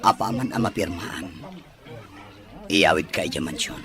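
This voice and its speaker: Man acting low-voiced, coaxing wealthy Filipino man. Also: unhurried, crowd noise. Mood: insistent, coaxing. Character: wealthy man persuading a woman, insistent, reassuring. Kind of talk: audio drama dialogue.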